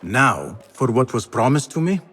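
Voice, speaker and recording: An actor playing a guarded, determined young former thief, speaking in a terse, serious way in a video game. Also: male voice, medium pitch, big pitch swings, normal speed, fairly bright tone, breathy edge. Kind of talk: video game cutscene acting. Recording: music behind the voice.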